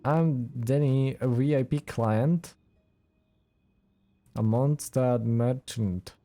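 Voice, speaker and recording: A man giving casual let's play commentary as a gaming streamer, with relaxed, wry asides. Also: mid-range voice, slow. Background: game music.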